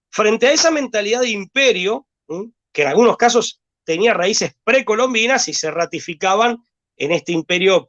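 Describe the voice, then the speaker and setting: Argentine man from Rosario, high-pitched, thinks aloud with many fillers, muffled lo-fi audio. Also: a bit bright. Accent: Rosario Argentine Spanish. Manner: rambling, hesitant with repeated words and fillers. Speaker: guest. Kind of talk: discursive conversation.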